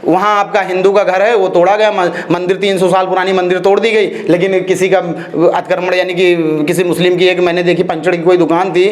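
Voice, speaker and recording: A man's voice: high voice, flat delivery, a little fast, hardly any pauses. A man giving opinionated interview answers, emphatic and argumentative, repeating phrases and throwing out rhetorical questions. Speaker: interviewee, social activist. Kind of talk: opinionated street-interview talk.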